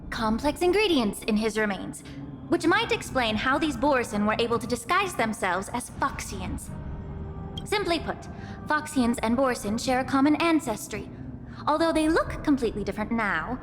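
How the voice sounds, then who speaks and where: A woman's voice: a little high, lightly acted. A woman voicing a poised, courteous healer and alchemist in a fantasy game, explaining things formally and precisely. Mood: composed, explaining. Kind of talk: dramatic game dialogue. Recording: background music under the dialogue.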